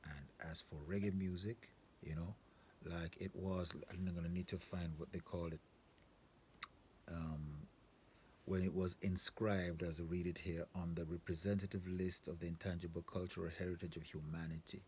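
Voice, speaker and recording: A man's voice: sits low, level tone, moderate pace, a little mellow. A man from southern Africa hosting an informal, opinionated monologue, speaking emphatically with repetition and frequent rhetorical check-in questions. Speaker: host. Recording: clear background ambient noise.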